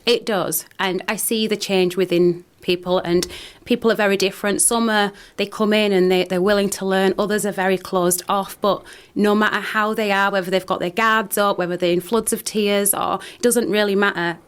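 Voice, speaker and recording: A woman's voice: medium pitch, steady tone, normal speed, smooth. A woman guest on a radio show, earnestly telling her own story with frequent restarts. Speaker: guest, founder of a wellbeing company. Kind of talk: radio interview. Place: radio studio.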